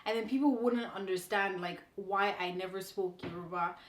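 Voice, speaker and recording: A woman's voice: medium pitch, normal speed, roomy sound. A young woman from Nigeria, the guest, talking casually and playfully about her upbringing, laughing often. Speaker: interview guest. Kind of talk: casual conversational interview. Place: indoor room, moved to Canada.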